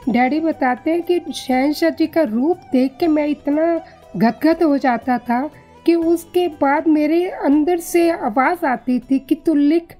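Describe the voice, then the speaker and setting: A woman with a high, mellow voice and a Punjabi accent recounts family memories as a guest. She talks at a slow, unhurried pace in a conversational storytelling style. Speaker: guest recalling family history.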